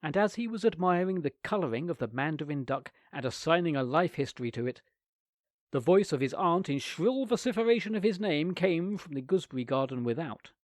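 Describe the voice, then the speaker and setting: Expressive male storyteller, dry sound. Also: on the high side, brisk, no room sound. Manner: expressive, theatrical. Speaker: reader. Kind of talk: scripted story narration.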